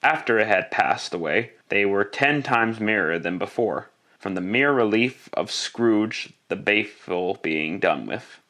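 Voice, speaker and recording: Casting: male narrator for an old-style radio Christmas tale, lowish voice, storybook delivery. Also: lively intonation, measured pace, pushed and bright, heavily acted. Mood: measured storytelling.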